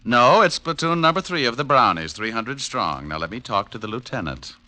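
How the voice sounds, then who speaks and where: A man's voice: medium pitch, sing-song, brisk, sharp tone. An actor playing a cynical, wisecracking male private detective in an old-time radio noir. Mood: flippant. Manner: wry, hard-boiled, flippant.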